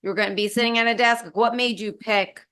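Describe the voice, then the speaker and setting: A woman's voice: on the high side, steady tone, fast, a bit bright, smooth. A woman hosting a podcast, reading an upbeat introduction and then asking her guest questions with enthusiasm. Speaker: podcast host.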